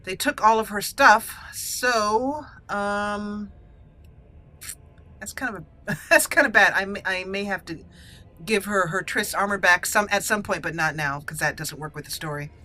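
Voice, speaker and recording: A woman's voice: mid-range voice, a little slow, pushed and bright. A woman giving casual roleplay commentary as she plays through a video game. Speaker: gaming channel host.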